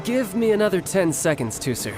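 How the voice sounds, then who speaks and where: Playful, confident young big brother. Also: male voice, sits high, sing-song, brisk, loud music behind the voice. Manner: upbeat, teasing, reassuring. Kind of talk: video game character acting.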